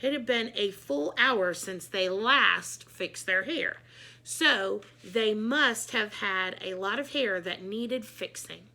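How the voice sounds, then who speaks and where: A woman reading a children's book aloud in an animated, expressive storytelling manner with dramatic emphasis. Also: mid-range voice, slow pace. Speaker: read-aloud storyteller, teacher.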